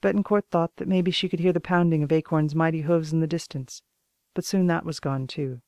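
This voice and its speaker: A woman's voice: low voice, fairly even intonation, fairly quick, darkish tone, dry recording. A woman reading an audiobook as a composed, wry author character who narrates and debates. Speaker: audiobook reader.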